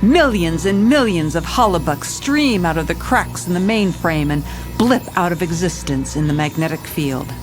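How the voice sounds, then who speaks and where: Casting: actress as seasoned female police commissioner, lower register, lively dramatic narration over music. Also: moderate pace, close to an everyday voice. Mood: narrating, suspenseful. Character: female police commissioner, older, level-headed, recounting her case.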